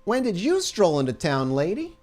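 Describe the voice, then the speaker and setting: A man's voice: sits high, huge pitch swings, normal speed, a little mellow, voice pushed up. Man playing a cocky flirtatious 1950s security guard. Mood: flirty. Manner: leering, cocky, smooth-talking. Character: sloppy flirtatious 1950s male security guard in his thirties.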